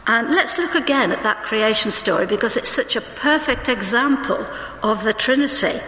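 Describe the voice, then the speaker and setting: A woman preaching a sermon in a church, conversational and reading scripture slowly, suffering from hay fever. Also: a little high, a bit bright. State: hay fever, may cough. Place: church hall. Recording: roomy church with long reverb.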